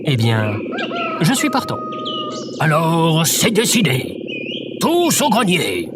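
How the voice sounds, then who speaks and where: Man acting an eccentric, theatrical scarecrow, swooping pitch. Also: huge pitch swings, takes it slowly, loud music behind the voice, full character voice. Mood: pondering, grand. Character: eccentric, storytelling scarecrow and his tin-man companion. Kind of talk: scripted audio fiction acting.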